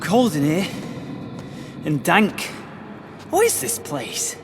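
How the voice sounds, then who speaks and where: Wry young hero, lightish pitch. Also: male voice, wildly swooping pitch, slow pace, exaggerated voice. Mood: nervous grumbling. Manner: casual, wry, muttering to himself. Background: game music.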